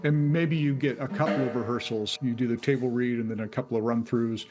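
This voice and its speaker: A man's voice: mid-range voice, steady tone, brisk, darkish tone. A man working as a sound effects designer, talking casually in an interview.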